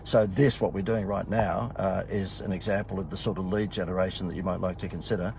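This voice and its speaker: Husky man talking casually. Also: deep voice, fairly even intonation, fairly quick, background noise. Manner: rambling, joking, many fillers and restarts. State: slightly husky from talking a lot. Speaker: marketing consultant. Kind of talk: casual promo vlog. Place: in a car.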